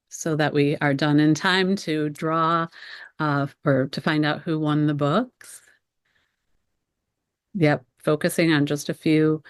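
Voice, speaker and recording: A middle-aged American woman with a low-pitched voice leads a webinar for fellow teachers. She explains ideas conversationally, with informal asides to the viewers. The sound is dry, with very little room echo. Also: clean tone.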